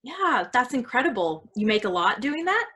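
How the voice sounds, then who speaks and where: An actress playing an easygoing but guarded hostess with a casual, probing manner in a staged play reading. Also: female voice, a little high, very animated, moderate pace, a bit bright. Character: easygoing, prying woman host, guarded about herself.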